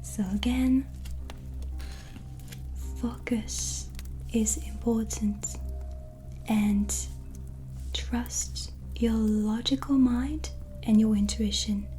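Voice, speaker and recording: A woman whispers slowly and breathily in a mellow, soothing voice. She is a non-native English speaker playing a kindly witch in an ASMR tarot roleplay. Faint music plays behind her. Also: on the high side, steady tone. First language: not English. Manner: gentle, unhurried, soothing. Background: soft relaxing music.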